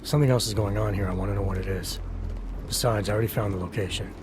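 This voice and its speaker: An actor playing a gruff, stoic augmented operative in a video game, talking tersely and dryly. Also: male voice, fairly low pitch, fairly even intonation, fast talker, over music, close to an everyday voice. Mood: firm, dry.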